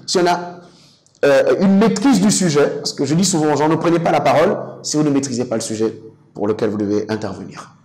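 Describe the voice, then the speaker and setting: Emphatic higher-pitched man. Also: darkish tone. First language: an African language. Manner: emphatic, rhetorical, deliberate articulation. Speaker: interview guest, public speaking coach. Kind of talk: studio interview, explanatory and didactic.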